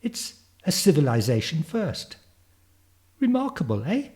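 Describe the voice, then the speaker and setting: A precocious ten-year-old girl from the future, as a male reader plays her, pitched high and lilting with animated swoops. She is confident, brisk and matter-of-fact, like a storybook prodigy. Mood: proud, eager. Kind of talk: storybook character reading.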